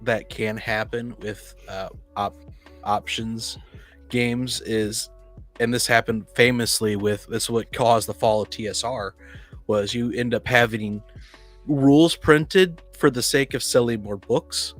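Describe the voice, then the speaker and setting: A man with a medium-pitched voice talks casually as a podcast co-host about tabletop games. He is animated and often acts out imagined quotes. Faint music plays behind him. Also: a little slow. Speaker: podcast co-host and game master.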